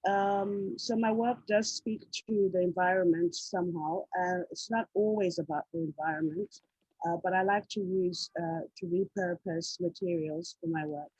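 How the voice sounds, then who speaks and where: Host on a video call: woman from Zimbabwe, husky mid-pitched voice, halting, filler-heavy delivery, dry sound. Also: fairly even intonation, a little mellow, no echo, poor audio. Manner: hesitant, many fillers. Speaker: host and artist. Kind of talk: casual video call talk.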